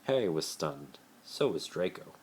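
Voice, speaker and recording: A man with a very deep voice reads a story aloud as an amateur. He trips over words and corrects himself as he goes. The phone recording has a faint layer of noise. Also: sing-song, measured pace, a little mellow. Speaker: amateur reader of fan fiction. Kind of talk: dramatic story reading.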